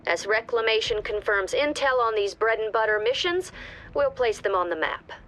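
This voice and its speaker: A calm squad commander. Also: female voice, on the high side, normal speed. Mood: briefing. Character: composed female squad commander giving orders. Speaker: mission briefing officer. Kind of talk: video game voice acting.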